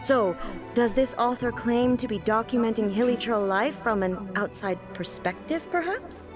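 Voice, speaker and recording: A woman's voice: on the high side, moderate pace, natural voice. A woman voicing a stately female ruler-deity in a video game, formal and earnestly literal. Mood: perplexed. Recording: game audio with music behind.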